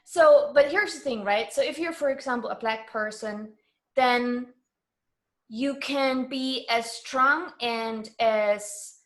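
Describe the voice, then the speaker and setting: A woman's voice: fairly high pitch, slow. A woman speaking as a guest in a conversational interview, earnest and emphatic in how she talks.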